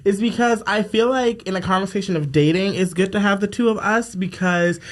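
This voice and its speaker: A young man with a fairly high voice hosts a casual podcast conversation. He is animated and playful, speaking in loose, slangy language as he tells stories. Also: a little fast. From Japan. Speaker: podcast host. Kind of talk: casual chat.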